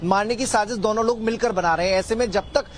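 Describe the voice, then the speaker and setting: A male correspondent explaining a case in a measured way during a live report. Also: sits high, slight background noise.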